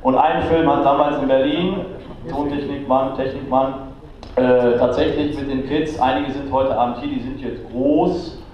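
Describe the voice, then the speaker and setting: A man's voice: mid-range voice, steady tone, normal speed. Chatty man on a big theatre stage. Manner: chatty, rambling, many fillers. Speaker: teacher giving a talk on stage. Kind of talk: casual stage talk. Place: large theatre stage before an audience. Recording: distant, roomy, muffled hall recording with crowd.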